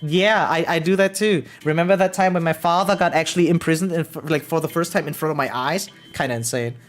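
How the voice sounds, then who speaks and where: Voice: mid-range voice, fast talker. Rambling streamer chatting over music, mid-range voice. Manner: rambling, repeats words, self-deprecating, informal swearing. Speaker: live streamer. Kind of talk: casual chat with viewers, reading out and answering comments. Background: background music.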